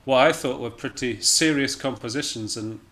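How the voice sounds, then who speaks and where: A man's voice: mid-range voice, moderate pace, a bit bright. Scottish-raised guy in a casual interview, kinda hesitant and modest, midrange voice. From Scotland. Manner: hesitant, self-deprecating, understated. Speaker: interview guest, jazz pianist and musician.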